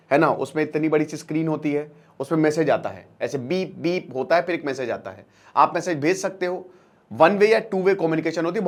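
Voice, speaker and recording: A man presenting an informal explainer lecture as an online educator, telling it like a colloquial storyteller with emphatic repetition and rhetorical questions. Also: on the high side. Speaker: online educator and channel host.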